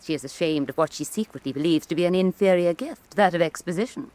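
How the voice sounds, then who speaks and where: A woman's voice: on the low side, fast, a little mellow, no echo, lightly acted. An actress playing a cultured, argumentative woman art critic in a historical radio drama, articulate and exacting. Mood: analytical. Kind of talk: full-cast historical radio drama acting.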